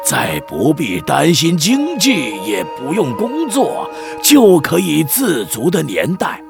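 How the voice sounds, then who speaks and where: A man's voice: a little high, wildly swooping pitch, slow, vocal fry, over music, full character voice. A man voicing a theatrical middle-aged village chief, a long-winded, avuncular storyteller in a children's radio drama. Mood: dramatic narrating. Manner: theatrical, mock-solemn, avuncular.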